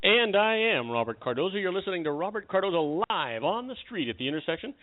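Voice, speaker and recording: A man's voice: sits high, big pitch swings, fast talker, crowd noise. A man hosting a talk radio show, bantering and joking with his guests. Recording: tinny phone-like radio audio.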